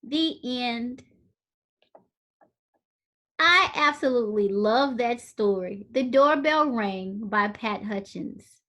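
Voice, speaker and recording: A woman's voice: fairly high pitch, takes it slowly, long pauses, fairly bright tone. A woman teacher giving a read-aloud lesson for young children, addressing them directly in a sing-song way. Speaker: elementary school teacher.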